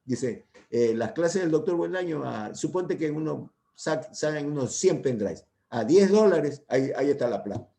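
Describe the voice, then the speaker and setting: A man's voice: on the high side, lively intonation, normal speed, creaky, hardly any pauses, mellow. An older man giving an informal university medical lecture, rambling and hesitant, often checking in with his students. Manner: rambling, hesitant, full of "¿ya?" and "¿no?" tags.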